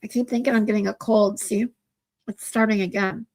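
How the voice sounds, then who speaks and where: A woman's voice: mid-range voice, fairly even intonation, a little fast, a little mellow. A woman in her forties with a stuffy nose, chatting casually with viewers on a livestream, rambling with many ums and restarts. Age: 40s. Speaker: livestream host.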